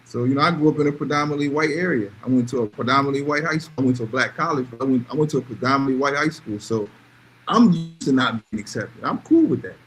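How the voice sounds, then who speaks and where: A man's voice: mid-range voice, animated, quick pace, fairly bright tone, slight background noise, low-quality recording. A man talking casually and bluntly, giving emphatic opinions and repeating phrases to drive points home. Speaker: podcast panelist.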